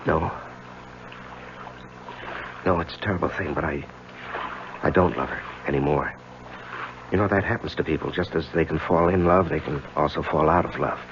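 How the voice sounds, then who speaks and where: An actor playing a hesitant married man torn by love in a 1970s radio drama, halting and repeating his words. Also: rumbling low. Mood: troubled. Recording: muffled 1970s radio recording, loud engine noise.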